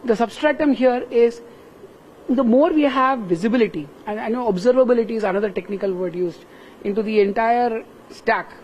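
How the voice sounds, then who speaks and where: A man's voice: very high-pitched, a little mellow, a little hiss or hum, low-quality recording. A man with an Indian English accent, a professor explaining his work in an interview in an earnest, step-by-step way. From Kerala, India. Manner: earnest, explains step by step with frequent "right?" tags. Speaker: professor interviewed at a tech summit. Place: busy summit venue.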